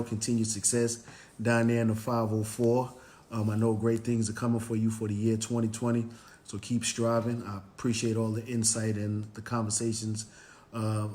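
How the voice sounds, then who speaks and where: A man hosting a motivational Facebook Live show, hoarse and raspy from cheering, greeting viewers by name, with plenty of "um" and "you know". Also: lowish voice, warm tone. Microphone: live stream, phone or webcam.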